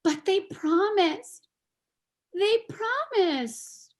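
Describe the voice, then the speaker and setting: A woman playing a frightened, abandoned little girl in a stage play reading, speaking in a halting, plaintive, repetitive way. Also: extremely high pitch, expressive, exaggerated voice. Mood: forlorn, insistent.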